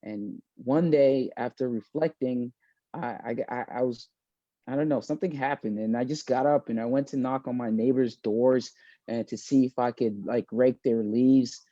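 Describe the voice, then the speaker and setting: A young man born in South Sudan and raised in Maine, speaking as an interview guest in a thoughtful, halting way, with many fillers and restarts. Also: mid-range voice, fairly even intonation, warm tone, no room sound, highs cut off. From born in South Sudan, raised in Maine, USA. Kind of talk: casual video-call interview.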